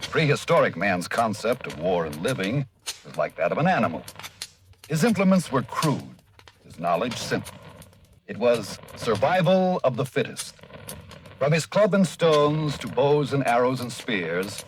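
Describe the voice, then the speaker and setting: A man delivering solemn, measured, oratorical scripted narration as an old-time radio announcer. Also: mid-range voice, very animated, rough recording. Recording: old radio recording with faint noise.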